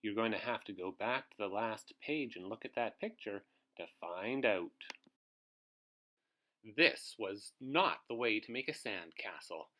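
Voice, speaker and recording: A man's voice: lowish voice, lively intonation, measured pace, bright, forceful tone. A man reading a children's storybook aloud as host, expressively, putting questions to his young listeners.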